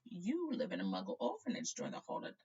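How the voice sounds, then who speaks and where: A woman's voice: on the high side, huge pitch swings, quick pace, mellow, slightly rough, dull audio, pitched above the performer's own voice, full character voice. A woman voicing a frail, kindly old male wizard headmaster in a feeble, wavering voice for a storybook reading. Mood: kindly concern.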